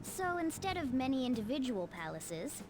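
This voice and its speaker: Anime game mascot: a bossy, self-assured cat, high and swooping, music underneath. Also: female voice, sing-song, normal speed. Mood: explaining, confident. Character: talking cat guide who turns into a bus, cocky, know-it-all. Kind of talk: anime video game voice acting.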